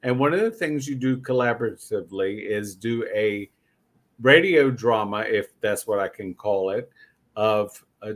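A man hosting a casual interview podcast, talking in a campy, familiar way with emphatic repeats. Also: mid-range voice. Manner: campy, uses "honey", repeats himself for emphasis. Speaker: podcast host.

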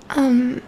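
A woman playing a gentle, doting female vampire in an ASMR roleplay, speaking softly and tenderly into a close mic, often near a whisper. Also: slight background noise. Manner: tender, cooing, soothing, often dropping to whispers. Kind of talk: ASMR roleplay, soft intimate speech.

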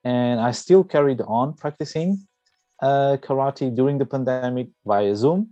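A man with a Portuguese accent giving a conversational personal account in an interview, pausing haltingly mid-phrase. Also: mid-range voice, dark tone, no room sound, lo-fi sound, soft background music. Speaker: interviewee, amateur karate practitioner.

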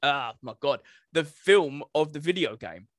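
A man's voice: fairly high pitch, lively intonation, measured pace, a bit bright, no echo, clean studio sound. A man chatting casually as a podcast co-host. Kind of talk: casual chat.

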